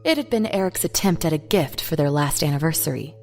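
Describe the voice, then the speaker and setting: A woman's voice: sits low, lively intonation, a little fast, a little mellow. A woman reading scripted, dramatic fiction narration in the present tense, with music behind her. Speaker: story narrator.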